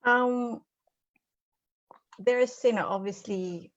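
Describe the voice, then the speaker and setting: A woman speaking as a panel guest, halting with many repeats and fillers. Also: fairly high pitch, no room sound, dull audio. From Philippines. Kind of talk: casual panel chat.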